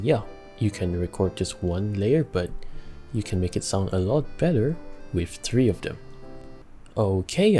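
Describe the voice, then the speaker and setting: A young man giving a casual music production tutorial from a home studio, chatty and relaxed, laughing between steps and using plenty of fillers. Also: lowish voice. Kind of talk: casual screen-recorded tutorial.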